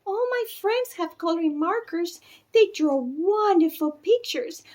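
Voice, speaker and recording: A woman voicing a whiny, insistent little girl in a storybook, wheedling with drawn-out pleas. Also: very high voice, animated, darkish tone, pitched above the performer's own voice. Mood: pleading, insistent. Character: pleading, whiny little girl wanting markers.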